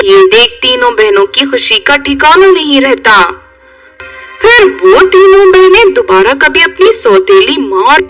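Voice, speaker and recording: Woman, children's story narration, very lively intonation, distant sound, loud background music. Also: sits high.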